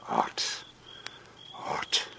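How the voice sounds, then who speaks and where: An actor in a 1940s radio drama playing an uneasy, plain-spoken working man, a stonemason who talks in a halting mutter. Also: bright, forceful tone, whispered. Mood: weary. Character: uneasy working-class monument mason, plain-spoken and superstitious. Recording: old radio broadcast, music behind.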